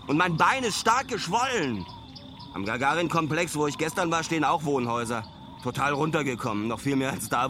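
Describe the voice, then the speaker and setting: An actor playing a restless traveller who keeps a wry personal log in a radio play, in clipped, colloquial sentences. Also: male voice, medium pitch, background noise, lightly acted. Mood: irritated, wry. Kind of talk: radio play monologue, diary-like.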